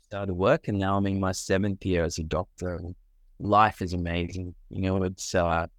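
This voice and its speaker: Deep-voiced man, earnest and halting. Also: little pitch movement, dry recording, clean studio sound. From Sri Lanka. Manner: earnest, with frequent pauses and fillers. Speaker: guest, medical doctor. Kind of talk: reflective interview answers.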